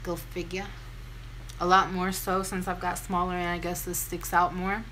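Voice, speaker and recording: A young American woman with a deep voice talks casually and candidly, in a self-deprecating, informal way, as a vlogger telling a story. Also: flat delivery, moderate pace, bright, forceful tone, a little hiss or hum, poor audio. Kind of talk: casual chatty get-ready-with-me storytime.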